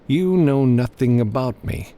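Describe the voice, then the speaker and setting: A man voicing a gruff, stubborn, terse male freelance gunslinger in an audiobook. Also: medium pitch, unhurried, warm tone, voice pushed up, close to an everyday voice. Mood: guarded, defiant. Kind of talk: audiobook character dialogue.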